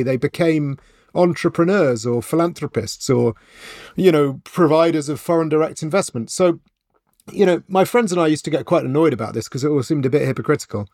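A man's voice: a little high, a little mellow, dry recording, studio quality. Wry, reflective middle-aged man from Wales. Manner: reflective, wry storytelling with fillers. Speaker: author and journalist guest. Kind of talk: conversational interview.

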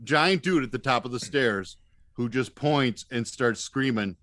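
Male podcast guest, American, medium-pitched, casual self-deprecating storyteller, recorded very dry. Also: unhurried, a bit bright, no room sound.

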